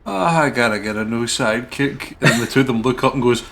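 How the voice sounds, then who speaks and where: A man with a medium-pitched voice chats as co-host of a gaming video. His talk is casual and joking, with noticeable noise behind it. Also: fairly quick, vocal fry, fairly bright tone. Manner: informal, joking. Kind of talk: casual chat.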